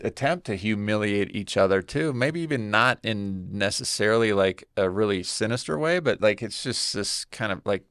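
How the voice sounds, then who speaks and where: A man's voice: fairly low pitch, expressive, moderate pace, hardly any pauses, a bit bright, clear, resonant tone, no room sound, clean studio sound. A man on a podcast, reflective, thinking aloud in broken fragments. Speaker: podcast co-host, writing a book. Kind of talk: casual conversation.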